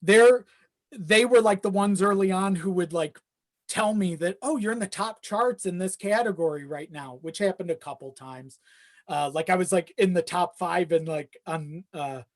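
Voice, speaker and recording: A man's voice: high-pitched, moderate pace. A man chatting casually as a podcast guest, rambling along and acting out his own asides.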